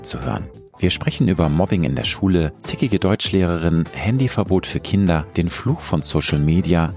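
A man's voice: sits low, darkish tone. A man hosting an interview podcast, speaking in an articulate and informal, personal way. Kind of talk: scripted podcast introduction and conversational interviewing.

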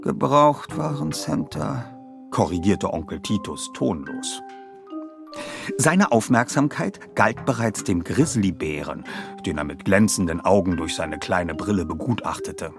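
Expressive male storyteller, animated intonation. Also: low-pitched, unhurried, over music. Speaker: narrator. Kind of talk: scripted audiobook storytelling with voiced dialogue.

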